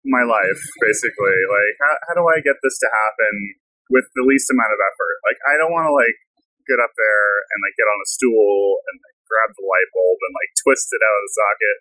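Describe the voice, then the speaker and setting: A man hosting a podcast and interviewing a guest in a casual chat. He talks in a relaxed way and says "like" a lot. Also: lowish voice, a little fast, no room sound, poor audio. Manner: relaxed, many fillers like "like". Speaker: podcast host. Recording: outdoor field recording.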